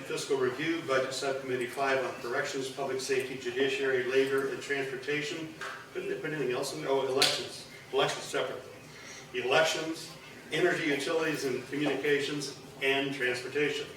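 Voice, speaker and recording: A man's voice: medium pitch, little pitch movement, a little fast, a bit bright, slight background noise. A man in his sixties giving an informal, rambling speech at a press event, with dry asides and no microphone. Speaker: former state senator, event speaker. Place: large room with a backdrop, indoors. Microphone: no microphone, recorded by a distant camera. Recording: echoey room from a distance.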